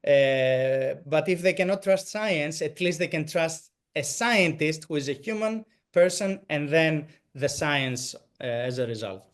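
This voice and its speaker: Halting Greek-accented man presenting. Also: a little high. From Greek American. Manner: halting, many restarts and fillers. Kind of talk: answering questions in a talk.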